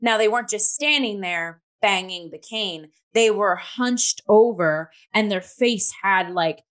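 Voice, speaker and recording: A woman in a casual review discussion, hosting and talking in an animated, emphatic way with many filler "likes". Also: mid-range voice, a little slow. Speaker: theatre review show host.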